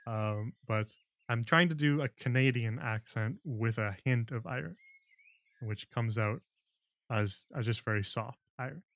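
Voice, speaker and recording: A man's voice: mid-range voice, a little slow, a bit bright, no echo. A man hosting a livestream book club, chatting with viewers and laughing often before reading a novel aloud.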